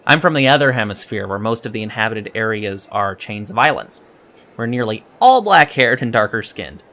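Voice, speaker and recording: A man's voice: fairly low pitch, expressive, normal speed, bright, forceful tone. A man playing an earnest young alien cadet in an audio drama, speaking politely and precisely with hesitant ums. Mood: chatty, fond. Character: earnest young male alien Starfleet cadet, eager and scholarly. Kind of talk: audio drama interview acting.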